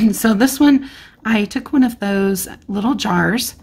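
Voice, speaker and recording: Slow, gravelly-voiced woman crafting. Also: medium pitch. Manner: relaxed, chatty, thinking aloud with fillers. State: slightly losing her voice, clearing throat. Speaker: card-making tutorial host. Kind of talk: casual crafting tutorial narration. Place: indoor craft desk. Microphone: overhead camera, hands only on craft mat.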